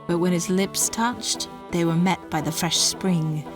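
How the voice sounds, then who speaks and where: A woman giving a scripted storytelling narration in a measured, dramatic way. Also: on the low side, music in the background.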